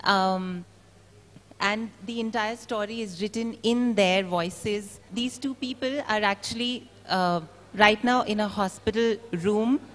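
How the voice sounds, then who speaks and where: A woman reads a story aloud to an event with dramatic delivery. Her voice sits at an average pitch, and the large room adds a long, echoing tail. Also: slow, fairly bright tone. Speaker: reader at a book event. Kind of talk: dramatic literary reading aloud. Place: large indoor event venue. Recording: echoey hall.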